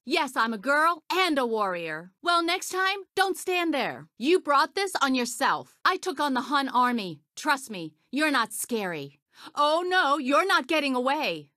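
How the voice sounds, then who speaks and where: A young woman voicing a brave, earnest, good-hearted young woman warrior for a video game, in expressive short lines. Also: sits high, no echo, clean studio sound, full character voice. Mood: determined, then defiant. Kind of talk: video game character voice clips.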